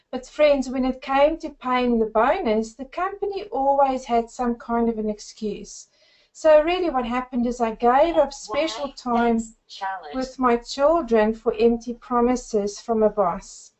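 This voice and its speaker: A woman in her forties from South Africa with a South African accent, giving an effusive, upbeat sales pitch on a group call. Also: a little mellow, poor audio. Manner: effusive, upbeat, addresses listeners as friends. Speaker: network marketing presenter.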